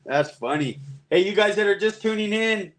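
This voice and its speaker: Easygoing folksy man, fairly high voice. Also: expressive, moderate pace, a little hiss or hum. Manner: folksy, easygoing, thinking aloud while working. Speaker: custom painter hosting a live stream. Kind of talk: live painting demo chat. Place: paint shop.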